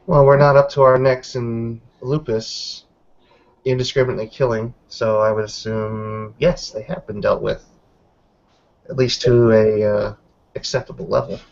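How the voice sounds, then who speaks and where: A man voicing a loyal, measured werewolf in a roleplaying game, speaking deliberately with pauses for emphasis. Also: mid-range voice, fairly even intonation, vocal fry, a little mellow, muffled recording, close to an everyday voice. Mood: measured, advising. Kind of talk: improvised in-character tabletop roleplay.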